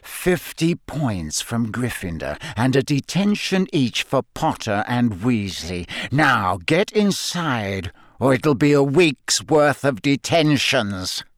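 A man's voice: mid-range voice, sing-song, extremely slow, hardly any pauses, no room sound, clean studio sound, full character voice. A man voicing a cold, menacing male potions teacher with a silky, curt, sneering delivery, as in an audiobook. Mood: silky, spiteful.

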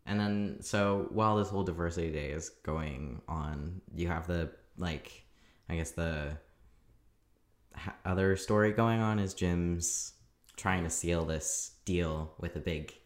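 A young man with a very low, flat monotone voice, recapping a TV episode in loose, rambling casual chat. He laughs often and fills his sentences with "like". The room around him is echoey. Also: unhurried. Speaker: podcast co-host.

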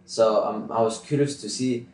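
A man from Greece whose first language is Greek, hosting an interview with halting, restarting non-native phrasing. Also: lowish voice, steady tone, a little mellow, distant mic. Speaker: podcast host. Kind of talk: casual interview questions. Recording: roomy sound from off-mic.

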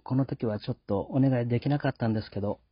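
Husky man hosting, dry dull sound. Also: mid-range voice, rapid-fire, dry recording. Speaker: host. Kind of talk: casual podcast sign-off monologue.

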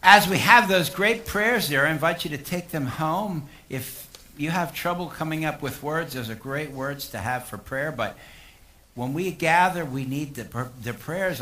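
A man's voice: medium pitch, a little slow, sharp tone, a little hiss or hum. A man speaking as a pastor, leading a church service and addressing the congregation.

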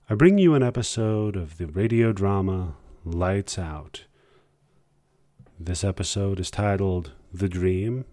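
A man hosting a program with scripted, measured introductions. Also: sits low, dark tone, no echo. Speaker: host.

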